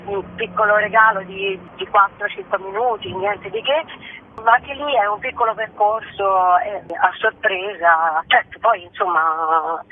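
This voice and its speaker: A woman speaking as a theatre artist in a radio interview, explaining things spontaneously and conversationally. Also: medium pitch. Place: phone call to a radio show. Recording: thin, tinny phone line.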